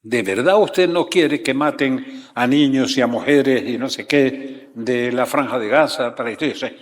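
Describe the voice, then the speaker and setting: A man's voice: medium pitch, measured pace. Man with echoing, challenging questions. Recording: long hall reverb.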